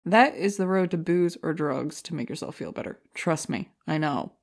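A cynical middle-aged comedienne, played by a woman, speaks in a lowish voice with plenty of gravel. She is sarcastic, dry and blunt, acting in an audio drama. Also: moderate pace. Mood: jaded, sardonic. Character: jaded, sarcastic middle-aged female stand-up comic.

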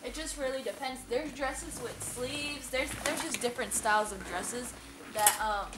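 A woman's voice: a little high, normal speed, heavy background noise. A young woman chatting casually and explanatorily as the host of an advice vlog, recorded in a large room on a camera mic. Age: young adult. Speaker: host, quinceañera choreographer. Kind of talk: casual instructional vlog chat. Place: large indoor dress boutique showroom, seated on a sofa. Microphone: camera mic a few metres away. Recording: roomy echo, camera mic at a distance.

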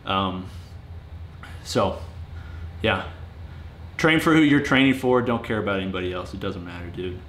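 A man's voice: low voice, moderate pace, long pauses, fairly bright tone. Late-twenties male vlogger, casual and sincere, roomy garage sound. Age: 20s. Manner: earnest, plainspoken, with fillers and restarts. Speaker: home gym reviewer. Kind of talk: casual vlog talk to camera. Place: home garage gym, indoors. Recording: roomy garage echo, faint noise.

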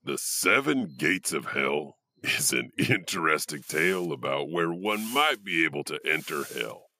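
A man's voice: a bass voice, sing-song, measured pace, pushed and bright, no room sound, exaggerated voice. A man playing a creepy, wry janitor who hosts horror tales, musing theatrically to the listener. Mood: wry, eerie. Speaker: host of horror anthology. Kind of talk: horror anthology host narration.

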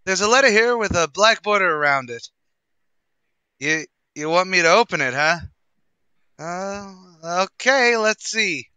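A brash, boastful New tavern manager in a 1940s radio comedy. His voice sits high and swings up and down constantly, bright and sharp-edged. He rattles off streetwise wisecracks in a dry, close sound. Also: animated, unhurried, clear, resonant tone, no echo, exaggerated voice. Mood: breezy.

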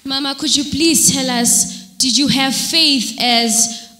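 A woman leads a church testimony interview with polite, formal questions. Her voice sits a little high and rings bright and forceful. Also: slow pace, some chatter behind.

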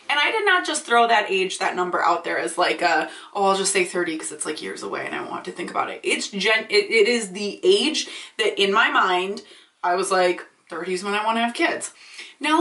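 A woman in her late twenties, vlogging in a chatty, animated, ranty way, swearing freely and a bit congested from allergies. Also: medium pitch. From Michigan. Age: 20s. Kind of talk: casual ranting vlog. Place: small indoor room. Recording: roomy echo, slightly distant.

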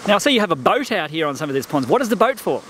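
High-voiced man interviewing outdoors. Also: very animated, rapid-fire, fairly bright tone, a little hiss or hum. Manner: cheerful, reads questions from a sheet. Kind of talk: reading questions in a kids' farm interview. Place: outdoors beside farm ponds. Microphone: handheld mic.

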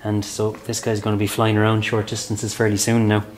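Low flat-voiced Irish man explaining. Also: brisk, a little hiss or hum. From Ireland. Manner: chatty, explanatory, frequent "like, you know". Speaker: barn owl expert. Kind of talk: explaining while handling birds. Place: inside a large open farm shed.

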